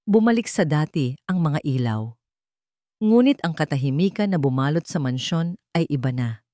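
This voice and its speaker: A woman's voice: fairly low pitch, dark tone, no echo, clean studio sound. A woman with a Filipino accent reading a dramatic romance story as its narrator.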